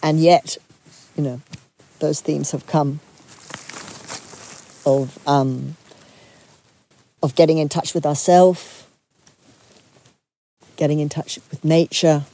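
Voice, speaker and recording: A retreat leader from Zambia giving a soft, reflective talk to a group, pausing often and restarting phrases. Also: medium pitch, level tone.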